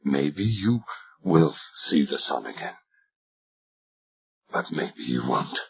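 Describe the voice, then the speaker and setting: A man doing a cold, quiet interrogator. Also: extremely low pitch, sing-song, slow, creaky, husky, voice pushed down, heavily acted. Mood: menacing. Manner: quiet, menacing, implacable. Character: cold ruthless male interrogator. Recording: dull lo-fi recording.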